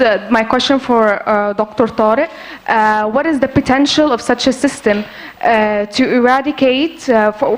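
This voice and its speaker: A young woman student with a fairly high voice asks the panel a question. She hesitates often and fills gaps with "uh". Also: low-quality recording. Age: young adult. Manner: hesitant, many fillers.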